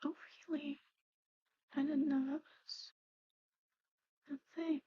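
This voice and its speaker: A woman performs an ASMR roleplay in a high, mellow voice that keeps slipping into whispers. Her delivery is hesitant and halting, staying within a narrow, even range. The recording sounds slightly dull. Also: no room sound.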